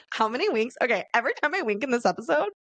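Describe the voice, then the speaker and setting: Raspy chatty woman, gravelly. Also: on the high side, very animated, fast, a bit bright. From Arizona, USA. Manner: chatty, laughs often, addresses listeners as girl. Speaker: podcast host. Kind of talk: casual solo podcast chat.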